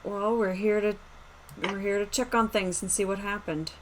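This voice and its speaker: A woman's voice: mid-range voice, steady tone, normal speed, noisy background. A woman playing a tabletop roleplaying game, chatting casually and speaking as her character.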